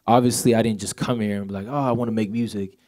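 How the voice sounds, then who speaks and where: Guest singer, Swedish-born man in his 20s, low voice, light accent, easygoing and filler-heavy. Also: fairly even intonation, quick pace, a little mellow. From Sweden. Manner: laid-back, many fillers like "you know". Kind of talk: casual interview chat.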